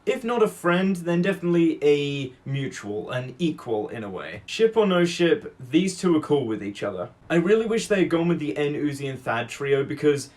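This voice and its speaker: A man with a fairly high voice delivers opinionated fan commentary. He is emphatic and assertive, leaning on his points. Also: sing-song, normal speed, hardly any pauses, darkish tone.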